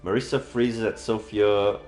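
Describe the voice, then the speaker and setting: Low-voiced man narrating in a roomy space. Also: fairly even intonation, a little slow, darkish tone. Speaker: streamer reading aloud. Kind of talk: narration of a visual novel, acting out lines. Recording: roomy sound, faint background music.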